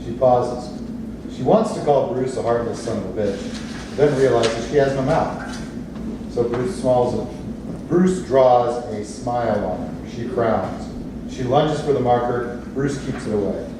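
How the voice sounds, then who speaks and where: A man's voice: medium pitch, a little slow. A man reading out stage directions as scripted narration in a play reading. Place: theatre space, recorded from audience distance. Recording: echoey room, far mic, noisy.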